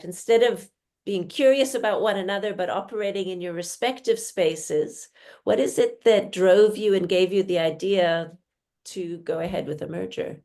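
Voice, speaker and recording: A woman's voice: fairly low pitch, darkish tone. A woman interviewing business guests, asking thoughtful questions.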